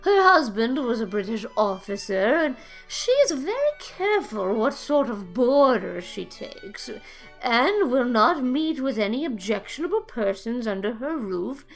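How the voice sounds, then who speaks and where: An actress playing a genteel, brisk older lady who speaks in a proper, emphatic, formal manner in an audiobook story. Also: fairly high pitch, expressive, faint music behind, rough recording. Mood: genteel, reassuring. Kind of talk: audiobook character acting.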